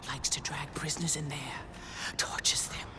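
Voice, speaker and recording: A woman's voice: low-pitched, little pitch movement, moderate pace, lightly acted. A woman playing a weary, bitter prisoner in a video game, half-whispering in terse sentences. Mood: hushed, bitter. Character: weary, bitter prisoner urging a stranger to act. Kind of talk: video game cutscene acting.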